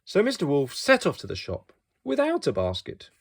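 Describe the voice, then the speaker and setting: A man reading a picture book aloud to young children as an expressive storyteller. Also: fairly high pitch, moderate pace, creaky.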